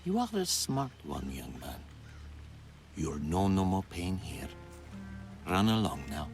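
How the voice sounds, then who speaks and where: An actor playing a calm, taunting villainous hunter in a video game, menacing and mocking in manner. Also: male voice, low-pitched, slow, faint music behind, exaggerated voice. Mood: falsely soothing.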